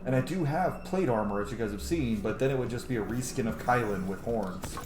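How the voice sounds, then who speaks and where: A man's voice: medium pitch, fairly quick, background noise. A man in his thirties giving casual, rambling, sweary let's play commentary as a gaming streamer. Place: small home room, indoors. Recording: echoey room with game music. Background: game music and sounds.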